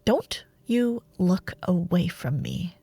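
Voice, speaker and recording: A woman playing a seductive, ruthless female dark warlord in a fantasy audio roleplay, speaking deliberately with silky menace and breathy murmurs. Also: fairly low pitch, sing-song, full character voice. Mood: seductive, coaxing. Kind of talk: dramatic fantasy audio roleplay monologue, ASMR.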